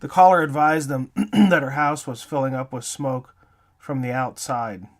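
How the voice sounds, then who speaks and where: A man's voice: mid-range voice, measured pace. A man hosting an interview show, reading scripted narration to introduce a feature.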